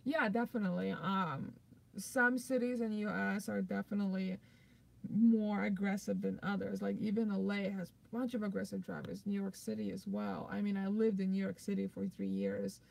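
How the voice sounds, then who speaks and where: A woman's voice: mid-range voice, fairly even intonation, moderate pace, vocal fry, a little mellow, poor audio. A young woman with a Russian accent, whose first language is Russian, chatting loosely on a live stream and answering viewer comments with many fillers. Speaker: vlogger hosting a live stream.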